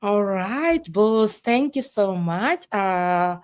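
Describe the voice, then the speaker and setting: Slow, lively-voiced woman from Kenya. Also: mid-range voice, noisy background. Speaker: guest coach. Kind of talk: casual live-stream talk.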